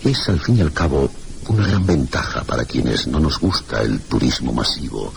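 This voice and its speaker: A man's voice: extremely low pitch, animated, moderate pace, vocal fry, heavily acted. A man playing a cultured, inquisitive village schoolteacher in a radio mystery drama, with formal, hesitant courtesy and a Castilian accent. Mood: inquisitive, courteous. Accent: Castilian Spanish. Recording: noisy, gritty old radio recording.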